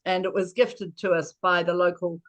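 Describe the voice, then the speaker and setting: An older woman gives an informal presentation over a video call, halting and often correcting herself. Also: on the low side, flat delivery, fairly bright tone. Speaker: co-housing resident presenting.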